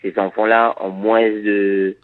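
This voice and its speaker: A reggae musician from Suriname, a man whose first language is Dutch, answering interview questions in a halting, thoughtful way with many repeated words. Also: lowish voice, little pitch movement, phone-quality sound.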